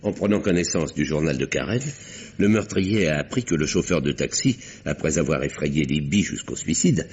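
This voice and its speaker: An actor playing a calm doctor-detective who exposes a murderer in an old radio drama, speaking in a measured, pointed way with dramatic pauses. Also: male voice, lowish voice, natural voice. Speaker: Doctor Westlake. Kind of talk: 1950s radio drama acting. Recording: dull old radio recording.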